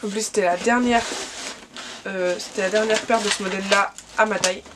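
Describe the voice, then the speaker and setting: A woman's voice: medium pitch, steady tone, fairly quick, heavy background noise. A young woman with a cold, chatting casually in a vlog and showing her gifts, with lots of fillers and restarts. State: has a cold. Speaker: vlogger. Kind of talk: casual gift haul vlog.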